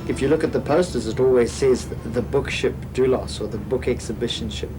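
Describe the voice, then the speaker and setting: A man, the captain of a ship, explaining his work earnestly in a documentary interview, with pauses and fillers. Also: over music. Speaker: ship's captain. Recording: noisy background rumble.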